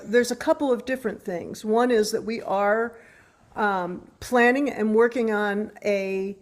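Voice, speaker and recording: American woman, mid-pitched, explaining casually. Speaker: musician, guest. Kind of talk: casual interview talk.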